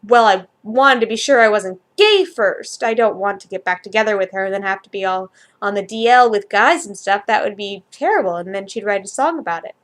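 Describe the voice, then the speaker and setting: A woman's voice: mid-range voice, lively intonation, fairly quick, few pauses, a bit bright, natural voice. A woman voicing a dim, defensive young man in a story, rambling through hesitant excuses.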